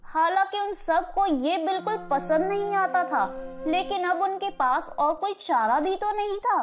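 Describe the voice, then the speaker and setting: A woman voicing the narrator and a young daughter-in-law in a Hindi animated story. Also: extremely high pitch, a little slow, faint music behind. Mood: storytelling.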